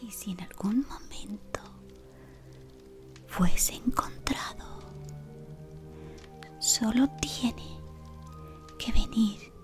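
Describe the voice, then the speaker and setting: Slow whispering woman, devoted servant. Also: on the high side, music in the background. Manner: soft devoted whisper, deferential. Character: devoted female servant of a dark lord. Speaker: ASMR creator. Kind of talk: ASMR roleplay. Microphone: close to camera.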